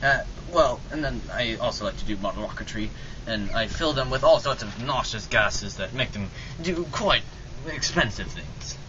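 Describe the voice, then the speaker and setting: A fussy, pompous eccentric acted by a man rambles through an old-time radio comedy, dithering and doubling back. The voice sits at a middling pitch on a dull, noisy amateur recording. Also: lively intonation, moderate pace, natural voice. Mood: fussy, distracted. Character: fussy, rambling, oddball dental-kit enthusiast airship traveler.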